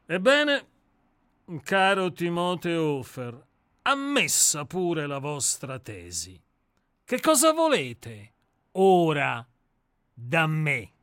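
A man's voice: on the high side, wildly swooping pitch, very slow, long pauses, a bit bright, dry recording, clean studio sound, pitched above the performer's own voice, heavily acted. A man voicing an ironic, composed famous novelist who is also a murderer, in a dramatized radio play reading. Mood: wry.

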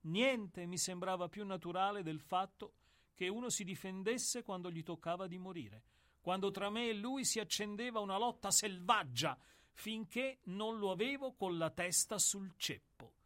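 An actor in a radio play playing an old, world-weary executioner who speaks in a deliberate, declamatory way with weighted pauses. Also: male voice, high voice, fairly bright tone. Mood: reflective, confessional. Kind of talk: dramatic radio play monologue.

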